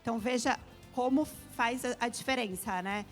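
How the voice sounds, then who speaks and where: Uma mulher brasileira de meia-idade, especialista em educação internacional, explicando com calma e muitos "né" numa entrevista. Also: female voice, fairly high pitch, normal speed, faint music behind. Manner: explains at length, frequent "né" tags. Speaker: international education specialist, guest. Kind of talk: explanatory interview talk.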